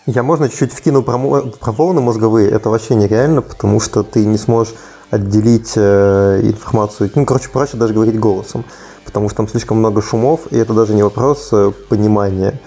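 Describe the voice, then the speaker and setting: A man in a casual panel discussion who argues quickly and bluntly, correcting the others. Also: lowish voice, mellow.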